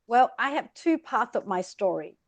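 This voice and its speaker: Podcast guest: middle-aged woman, expressive storyteller, dry close sound. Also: a little high, normal speed, no echo. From Vietnam. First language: Vietnamese. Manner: lively, expressive, speeds up in bursts. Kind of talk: casual interview storytelling.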